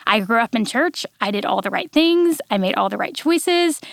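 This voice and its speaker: A bright-toned woman in her thirties with a gravelly fry running through her speech, talking with bubbly good humor. She is recorded in a dry, close podcast studio. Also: a little high, expressive, dry recording. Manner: bubbly, quick to laugh. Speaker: podcast guest, devotional writer. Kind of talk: casual chat and devotional teaching.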